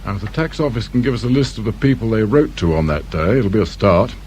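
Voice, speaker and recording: Through the hiss of an old radio drama recording comes a man acting a world-weary older British police inspector. His voice is lowish and gruff, and he fires clipped questions with dry, grumbling sarcasm. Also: expressive, quick pace, a little mellow, close to an everyday voice. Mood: sardonic, brisk. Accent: British English.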